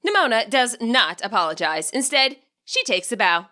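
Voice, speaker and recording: A woman's voice: fairly high pitch, sing-song, normal speed, pushed and bright. A woman reading scripted story narration expressively, as the narrator of her own audiobook. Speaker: audiobook narrator and fan fiction author.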